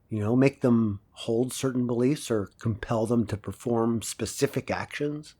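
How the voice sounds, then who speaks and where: An actor playing a philosophical male professor lecturing a class in an audio drama, deliberate and rhetorical, with emphatic pauses. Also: medium pitch, natural voice. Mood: musing.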